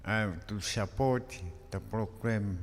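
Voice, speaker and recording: Casting: man in his seventies, Tongan accent, slow speech to a meeting, ringing hall. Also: lowish voice. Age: 70s. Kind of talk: halting introduction to a meeting. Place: community hall. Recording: echoey hall.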